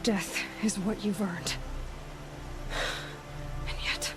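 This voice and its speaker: A young female hunter, stern and resolute with compassion underneath. She speaks in a measured, serious way at a medium pitch, in a dramatic game scene with music clearly playing behind her. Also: steady tone. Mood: solemn resolve. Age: young adult. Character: determined young huntress, stern but compassionate. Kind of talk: dramatic video game acting.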